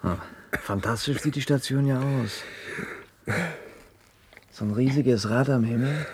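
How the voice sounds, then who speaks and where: A casual sceptic in a dystopian radio play. His voice is mid-pitched and markedly husky, and he talks in a laconic, resigned, conversational way. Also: unhurried, darkish tone. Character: casual, resigned male sceptic in a domed future city.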